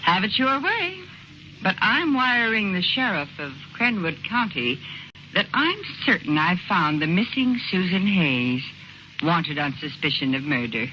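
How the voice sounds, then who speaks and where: An actress playing a sly, pushy blackmailer in vintage radio drama style, pointed, self-assured and coolly threatening. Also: female voice, lowish voice, lively intonation, unhurried. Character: sly, pushy female blackmailer, ambitious and cool. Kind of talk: 1940s radio acting. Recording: muffled, noisy old radio recording.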